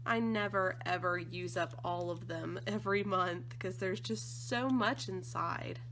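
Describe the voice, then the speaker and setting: A woman with a slightly croaky voice from allergies, chatting casually and gushing with enthusiasm as she unboxes craft supplies. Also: fairly low pitch, unhurried, a bit bright, a little hiss or hum. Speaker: scrapbooking design team member.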